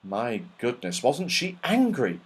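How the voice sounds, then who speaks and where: A man narrates a children's story in an expressive, dramatic way. His pitch rises and falls widely, and he takes his time over the scripted text. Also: slow, breathy edge. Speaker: audiobook reader.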